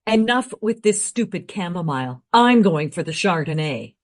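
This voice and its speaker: Lady doing a brash sardonic time traveler gal, blunt n teasing, super animated, radio drama. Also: lowish voice, moderate pace, natural voice. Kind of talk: radio play acting.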